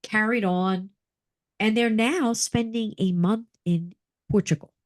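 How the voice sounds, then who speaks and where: A middle-aged woman co-hosting a casual chat with a friend, telling animated stories and laughing often. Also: mid-range voice, slow, no echo. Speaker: podcast co-host.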